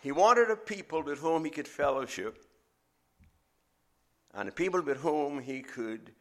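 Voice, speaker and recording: A middle-aged man with a Bahamian accent, preaching a sermon as a visiting preacher in a measured, emphatic way. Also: on the high side, animated, a bit bright.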